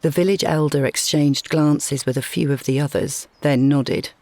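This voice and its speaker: A woman's voice: deep voice, steady tone, moderate pace, a little mellow, no echo. Woman narrating a story, close and dry. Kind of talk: scripted short story narration.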